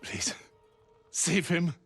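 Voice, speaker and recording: A man's voice: extremely slow, fairly bright tone. An actor playing a worried young male villager in a video game, earnest and pleading. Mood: anxious, pleading. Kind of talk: dramatic game cutscene acting.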